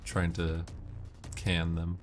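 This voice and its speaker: Low-voiced man giving game tips. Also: completely flat delivery, extremely slow, faint music behind. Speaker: gamer giving walkthrough commentary. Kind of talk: casual game commentary with tips.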